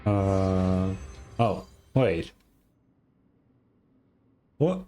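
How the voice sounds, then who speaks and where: Female game streamer, extremely low pitch, unhurried, hesitant and weary, casual muttered reactions. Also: animated, mellow.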